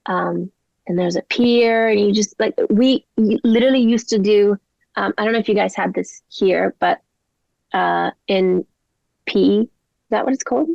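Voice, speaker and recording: A woman's voice: medium pitch, normal speed, creaky, darkish tone, dry recording, highs cut off. Chatty woman, mixed Canadian-English accent. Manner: chatty, rambling, lots of likes and ums. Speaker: singer-songwriter guest. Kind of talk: casual interview chat.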